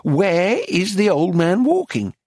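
A woman's voice: fairly low pitch, very animated, moderate pace, slightly rough, dry recording, clean studio sound, pitched above the performer's own voice, exaggerated voice. A man voicing a bossy, anxious woman art dealer who scolds and presses with demanding questions in a story reading. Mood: impatient, probing.

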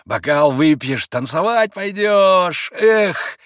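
A man reading an audiobook and voicing a spiteful young man who drawls his taunts in a shrill, mocking way. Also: animated, slow, fairly bright tone, no room sound, clean studio sound, heavily acted.

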